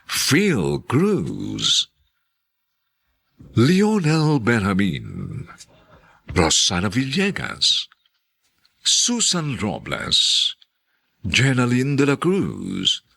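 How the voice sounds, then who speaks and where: A man's voice: on the low side, vocal fry, pushed and bright, husky. A man narrating and announcing for a radio drama in a dramatic scripted style.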